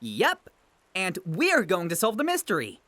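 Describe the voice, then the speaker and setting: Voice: medium pitch, huge pitch swings, unhurried, a bit bright, no echo. A performer voicing an eager, carefree young male adventurer in a fantasy audio drama, with a casual, guileless way of talking. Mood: matter-of-fact.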